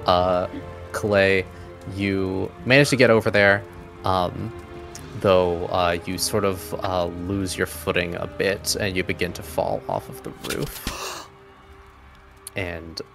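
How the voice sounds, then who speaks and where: Low-pitched man, game master, easygoing narration, hesitant phrasing, music behind. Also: steady tone, slow, creaky. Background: background music.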